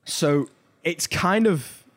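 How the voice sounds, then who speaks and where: A man's voice: fairly high pitch, lively intonation, very slow. A man hosting a podcast, talking casually and informally, telling stories with emphatic asides. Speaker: podcast host. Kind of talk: casual pop culture discussion.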